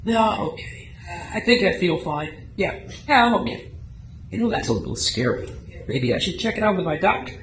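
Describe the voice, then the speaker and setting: Anxious, high-voiced man acting as worried office fellow. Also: big pitch swings, moderate pace. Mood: shaky reassurance. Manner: hesitant, stammering, fretful. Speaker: amateur radio drama actor. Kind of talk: live radio play reading. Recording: echoey room, faint background noise.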